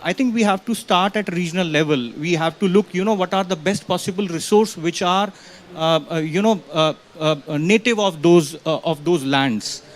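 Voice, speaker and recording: A man asks a question at a panel event, haltingly, often padding with "you know". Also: high voice. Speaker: audience questioner. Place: conference pavilion with small audience. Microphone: handheld event microphone.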